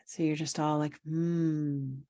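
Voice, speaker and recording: A woman leading a guided meditation as its teacher, speaking in short, soothing, instructive phrases with pauses between them. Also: on the low side, level tone, mellow. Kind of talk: guided meditation, semi-improvised spoken instruction.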